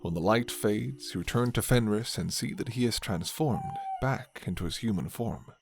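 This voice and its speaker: A man narrating a scripted fantasy story in the second person, with measured, dramatic storytelling. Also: on the low side, huge pitch swings, gravelly.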